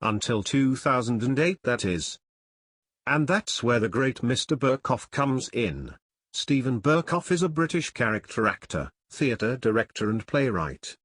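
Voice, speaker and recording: A man's voice: mid-range voice, very animated, unhurried, fairly bright tone, slightly rough, no room sound, studio quality. Dryly recorded man narrating a review. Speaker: film reviewer.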